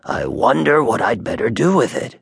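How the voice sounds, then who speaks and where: A man performs a big storybook bear with a rumbly, grumbling voice. The bear is smug and draws his words out slowly, in a close, dry sound. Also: sing-song, vocal fry, breathy edge, no echo, full character voice. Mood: musing. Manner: slow, drawn-out delivery.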